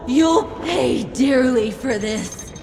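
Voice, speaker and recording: A woman playing a menacing, taunting witch villainess in a video game, with theatrical, drawn-out delivery. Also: sits high, creaky, darkish tone, heavily acted. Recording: long reverb, noisy ambience.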